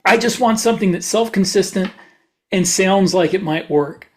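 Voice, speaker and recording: A man's voice: sits high, normal speed. A man co-hosting a podcast, talking casually in an informal panel chat. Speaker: podcast co-host and science fiction writer.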